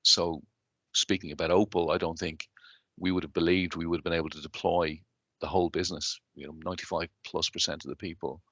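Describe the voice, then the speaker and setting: A man with a Dublin Irish accent, in his thirties, answering interview questions in a measured, businesslike way with frequent fillers and restarts. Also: low voice, flat delivery, a bit bright, no echo. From Ireland. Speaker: guest, company chief executive.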